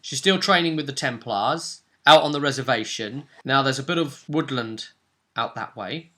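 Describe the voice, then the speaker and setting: Guy recapping his tabletop rpg story, super bright punchy voice, jokey and kinda hammy. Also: mid-range voice, moderate pace. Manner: playful, theatrical, hammy. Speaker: host and game master recapping a tabletop role-play story. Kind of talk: casual, jokey story recap for a video audience.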